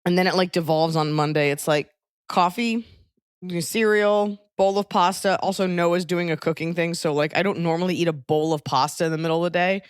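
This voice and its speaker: A woman with a slightly low voice chats casually as a podcast host. She is wry and makes fun of herself as she goes. The sound is close and dry. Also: brisk, smooth, no room sound. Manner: wry, self-mocking. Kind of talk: casual comedic podcast chat.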